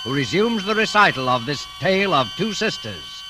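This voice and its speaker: A man works as a vintage radio announcer with music playing behind him. His voice sits a little high, and his scripted delivery is formal and dramatic. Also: big pitch swings, moderate pace, rough recording.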